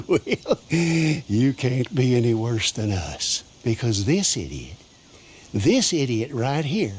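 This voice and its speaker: A man's voice: high voice, a little hiss or hum, full character voice. An actor playing an exasperated, bitter Old West lawman with an American accent and a scornful, sarcastic manner.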